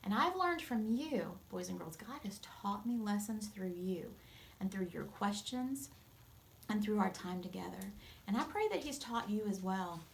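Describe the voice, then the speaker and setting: A woman's voice: mid-range voice, unhurried, vocal fry. Woman reading to children, slightly noisy recording. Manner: expressive, explains gently to young listeners. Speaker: teacher reading a storybook aloud to children. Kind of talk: storybook read-aloud with asides to children.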